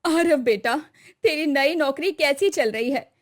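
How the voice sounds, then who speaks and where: A woman's voice: high-pitched, unhurried. A woman voicing a tired, anxious mother of a grown son in a cartoon story. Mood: worried, hopeful.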